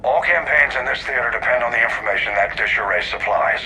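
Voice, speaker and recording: A man's voice: medium pitch, fast, pushed and bright, slight background noise, rough recording. A man playing a stern, grave admiral in a video game, giving clipped, authoritative briefings. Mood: grave, urgent. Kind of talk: dramatic video game acting.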